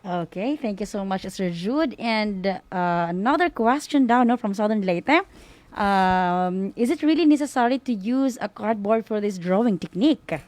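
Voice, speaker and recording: Female moderator, Filipino accent, medium pitch, dry sound. Polite, informal, slightly halting delivery while passing on questions. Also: animated, a little mellow, dry recording. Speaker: radio school program host.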